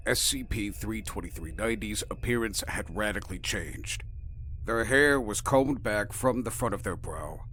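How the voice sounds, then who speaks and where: A man's voice: fairly low pitch, fairly bright tone. A man reading a formal, clinical log as scripted narration for an audio drama.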